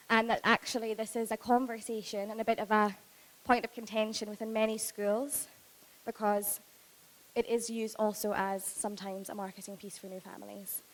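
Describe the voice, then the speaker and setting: Hoarse Scottish woman in a reverberant hall. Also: a little high. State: hoarse, losing her voice. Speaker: university recruitment lead, panel moderator. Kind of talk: conference panel talk. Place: large hotel conference room. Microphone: handheld microphone, filmed by far camera.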